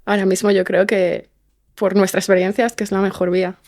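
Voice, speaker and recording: Even-toned woman. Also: medium pitch, moderate pace. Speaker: podcast guest, scriptwriter and fiction podcast creator. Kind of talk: casual interview conversation.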